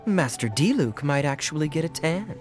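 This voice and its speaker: A suave young knight captain, voiced by a man, speaks with playful, knowing mockery. His voice moves lively up and down as he needles his companions. Faint music sits beneath the game dialogue. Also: on the high side, normal speed, close to an everyday voice. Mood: teasing. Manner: teasing, wry and playful. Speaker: game character.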